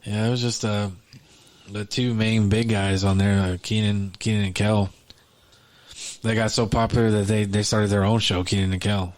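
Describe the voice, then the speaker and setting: Low-voiced rambling podcast co-host man. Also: flat delivery, normal speed. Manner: rambling, many restarts and fillers. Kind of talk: casual chat.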